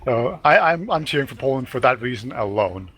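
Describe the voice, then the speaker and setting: A man's voice: medium pitch, sing-song, a bit bright, slightly rough. A man casting a live online game match, talking conversationally, laughing easily and tossing questions to his partner. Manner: conversational, quick to laugh, asks co-caster questions. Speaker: esports caster. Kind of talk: casual live game commentary.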